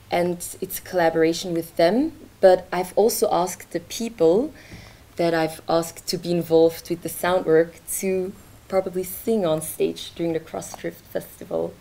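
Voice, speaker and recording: A young woman from Belgium presenting her artwork at a launch event in a lecture theatre, speaking haltingly with many fillers. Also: lowish voice, mellow. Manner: hesitant, many fillers, gestures while talking. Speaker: artist presenting her work. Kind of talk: informal talk at a launch event.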